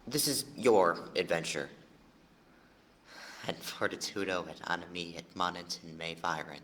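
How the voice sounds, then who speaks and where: Low-voiced mentor, bright forceful tone, measured, cryptic, encouraging, audio drama. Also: male voice. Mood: encouraging, wry. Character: calm, encouraging male mentor, drily secretive.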